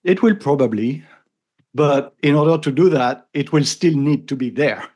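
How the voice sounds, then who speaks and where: A man's voice: a little high, normal speed, a little mellow. A man in his fifties from France with a thick French accent, a podcast guest talking earnestly and thoughtfully. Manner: earnest, thoughtful, frequent restarts. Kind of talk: casual conversation.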